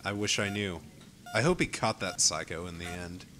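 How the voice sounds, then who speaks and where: A man's voice: deep voice, moderate pace, sharp tone, faint music behind. A man playing a casual, blunt young friend in an amateur radio play. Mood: easygoing.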